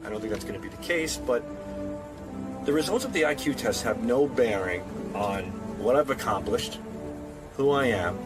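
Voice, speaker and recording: A man's voice: mid-range voice, expressive, normal speed. Male, mid-range voice, confident joker in a casual interview, prominent music bed. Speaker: radio show staff member.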